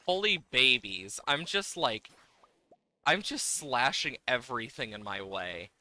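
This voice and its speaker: A man's voice: mid-range voice, expressive, unhurried, bright, forceful tone. A man giving casual livestream game commentary, playfully exasperated, swearing and exclaiming at the game as he plays.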